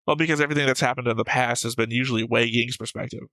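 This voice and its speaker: A man's voice: medium pitch, steady tone, rapid-fire, sharp tone, dry recording. A man who hosts a reaction show, talking casually and analytically, explaining and arguing his points. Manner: argumentative, explanatory. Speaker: host of an anime reaction show.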